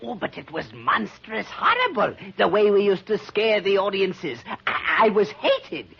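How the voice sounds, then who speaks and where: An actor playing a talkative, high-strung little hunchbacked former stage actor in a 1950s radio drama. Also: male voice, big pitch swings, unhurried, gravelly, heavily acted. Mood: theatrical, boastful. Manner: theatrical, effusive, repeats himself. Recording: muffled vintage 1950 radio recording.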